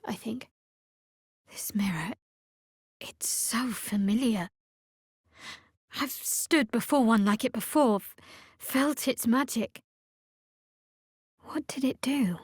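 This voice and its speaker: An actress playing a guarded, sardonic young woman cleric devoted to a dark goddess in a video game, with dry, wry, measured delivery. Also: on the high side, no echo. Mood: hushed, wistful. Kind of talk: video game character voice lines.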